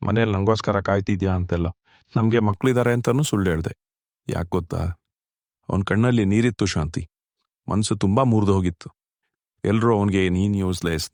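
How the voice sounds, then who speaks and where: A man's voice: lowish voice, a little mellow, dry recording, clean studio sound, close to an everyday voice. A man playing a kindly, grieving older husband, an electrician, speaking in an earnest, fatherly way in a cartoon story. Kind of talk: dramatic dialogue in a cartoon short story.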